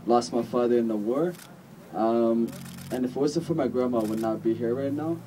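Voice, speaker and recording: A young man with a mellow, medium voice speaks at an outdoor rally, picked up from a distance. His talk is personal and questioning, loose with casual fillers. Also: mid-range voice, moderate pace, a little hiss or hum. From United States.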